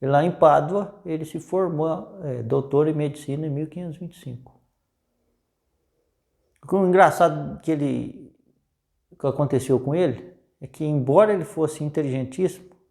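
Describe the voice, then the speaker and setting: A man's voice: a little high, normal speed, creaky, long pauses, darkish tone. A man with a Brazilian accent presenting history in informal, unscripted storytelling, conversational and often checking in with tag questions.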